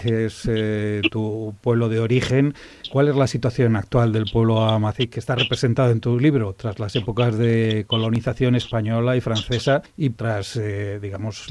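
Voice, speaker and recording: A man's voice: mid-range voice, darkish tone, a little hiss or hum. Male interviewer with long careful questions.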